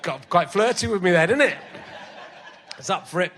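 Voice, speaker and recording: A male comedian hosting a live podcast on a theatre stage, chatty and self-mocking, his voice slightly croaky from illness. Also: sits high, lively intonation, moderate pace, fairly bright tone, some chatter behind, a little hiss or hum. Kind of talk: live stand-up banter on stage.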